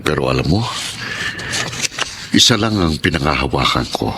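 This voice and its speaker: A forgiving Filipino father in a radio drama, earnest and solemn. His voice is extremely low yet forceful and bright. He sounds like a humble middle-aged man who has carried much. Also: a little hiss or hum, heavily acted.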